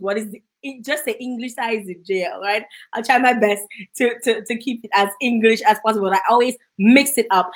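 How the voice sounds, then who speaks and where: A woman from Dominica with a Dominican accent, hosting a casual interview, bubbly and quick to laugh, slipping into Creole phrases. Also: on the high side, fairly bright tone. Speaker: host.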